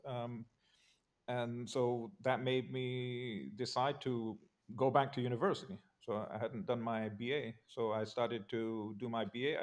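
An older man from Argentina, a guest on a video call, telling his life story in a relaxed, measured way with many pauses and fillers. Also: medium pitch. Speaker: interview guest, political scientist. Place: home study with bookshelves. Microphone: video call webcam.

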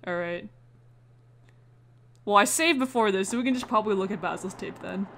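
A woman's voice: mid-range voice, brisk, creaky, a little hiss or hum. A woman doing let's play commentary on a video game, slangy, reacting aloud and muttering to herself. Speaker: gaming video host. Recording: music behind the voice. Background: loud video game music.

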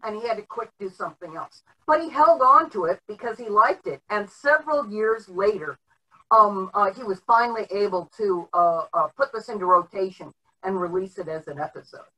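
Woman explaining stuff on a podcast, sharp bright voice, lots of ums, kinda far from the mic. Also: medium pitch, lively intonation. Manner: halting, frequent long filled pauses. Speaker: panelist giving background on old TV history. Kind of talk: informal explanatory podcast talk. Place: home room, indoors, on video call. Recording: distant mic on video call.

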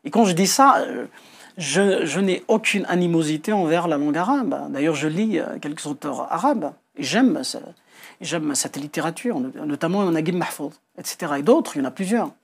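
A man's voice: on the high side, expressive, normal speed, gravelly, husky. A middle-aged man from Algeria, a Kabyle speaker, explaining his views as an author in a TV interview, hesitantly repeating words and stressing key points. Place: indoor television studio.

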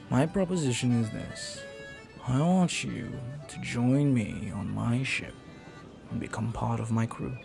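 A man playing a flirty, earnest pirate captain in an intimate audio roleplay, speaking softly and haltingly with tender devotion. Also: medium pitch, vocal fry. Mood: sincere, hopeful. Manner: soft, halting, tender, sometimes near whisper.

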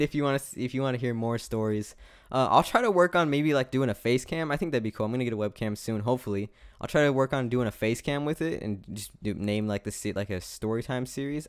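A man's voice: medium pitch, lively intonation, fast talker, clean tone, no echo, clean studio sound. A young adult American man telling a story casually over gameplay, rambling and slangy. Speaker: gaming video creator.

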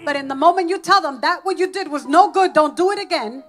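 A woman interpreting a church sermon into English line by line, matching the preacher's energy up to shouts. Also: extremely high pitch, moderate pace, faint music behind. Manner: mirrors the preacher's rises to shouts. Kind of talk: live consecutive interpretation into English.

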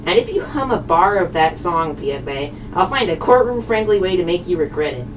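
A woman voicing a blunt, grumpy female witness with an offhand, sardonic deadpan in a puppet show. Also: on the low side, brisk, pitched below the performer's own voice. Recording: distant mic with clear background noise.

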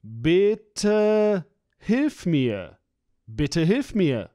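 A man's voice: sits high, big pitch swings, very slow, warm tone, no echo, clean studio sound. A man reading phrases for a language course, splitting and repeating them with careful enunciation. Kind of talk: slow, clear phrase-by-phrase repetition.

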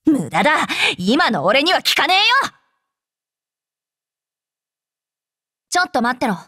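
A woman voicing a brash, tsundere young female android soldier in an anime game, with rough, blunt, tomboyish speech. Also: high voice, very animated, fairly quick, a bit bright, no room sound, studio quality, full character voice. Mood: brusque, confident. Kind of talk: Japanese anime game character voice lines.

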